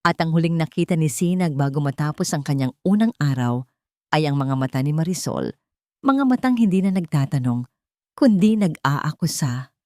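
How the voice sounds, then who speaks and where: Woman storyteller for melodramatic fiction: slightly low voice, scripted narration, very little room echo. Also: animated, normal speed, a little mellow, studio quality.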